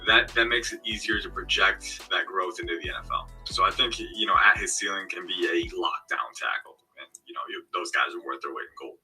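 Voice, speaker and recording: Low-voiced guy breaking down players, confident, bit of music under it. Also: brisk, hardly any pauses, sharp tone, rough recording. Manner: confident, analytical, explains in long runs. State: parched throat. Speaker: guest football analyst. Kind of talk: casual chat.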